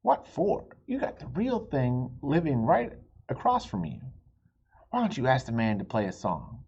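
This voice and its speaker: Man voicing a folksy, firm storybook grandmother, very animated. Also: medium pitch, measured pace, gravelly, husky, highs cut off, exaggerated voice. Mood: chiding.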